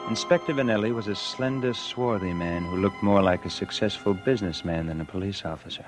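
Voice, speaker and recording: Low-voiced scheming killer played by an actor. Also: male voice, brisk, natural voice. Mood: smug, confiding. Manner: confessional first-person narration. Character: guilty, scheming man narrating his own murder. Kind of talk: 1940s radio suspense acting. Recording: vintage 1940s radio with loud music.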